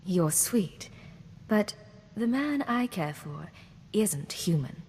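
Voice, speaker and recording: A cool, self-possessed secret agent in a sci-fi game. She is direct and wry, with a medium-pitched voice. The audio has long reverb and faint noise. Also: big pitch swings, slow, darkish tone. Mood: tender, flirtatious. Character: poised, wry, affectionate female imperial secret agent. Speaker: player character in a video game. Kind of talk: scripted game dialogue.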